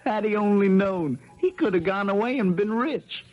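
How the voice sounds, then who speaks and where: An actor playing an eager, clever young small-town lawyer hero in a 1970s radio drama, telling things in a folksy, theatrical way. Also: male voice, high voice, expressive, moderate pace, lo-fi sound, faint music behind. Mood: explaining excitedly.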